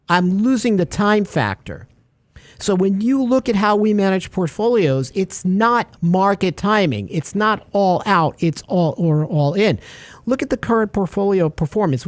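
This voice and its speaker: A man's voice: sits high, dry recording. A man hosting a radio talk show, chatting casually and acting out little stories as he goes. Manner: animated storyteller, acts out little scenes.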